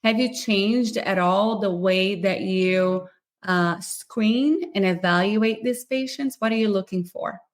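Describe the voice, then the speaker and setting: A woman hosting a live podcast interview, upbeat and talking quickly in long runs. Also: mid-range voice. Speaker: podcast host.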